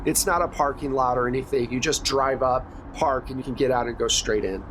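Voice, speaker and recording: Man, game master, mid-pitched, casual descriptive storytelling, horror tabletop session, background noise. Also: fairly quick, a bit bright.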